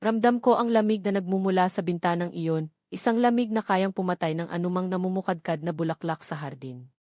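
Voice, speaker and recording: A woman narrating a dramatic first-person story in a measured, suspenseful storytelling manner. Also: fairly low pitch, a little mellow, no echo, studio quality.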